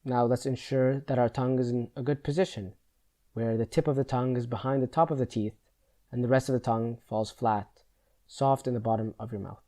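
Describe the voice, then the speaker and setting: Male meditation guide, mid-pitched and warm, dark-toned, with gentle, measured instructional delivery. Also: fairly even intonation.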